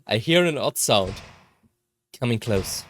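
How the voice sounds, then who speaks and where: A narrator reading a first-person visual novel story aloud in a measured delivery. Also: medium pitch, expressive.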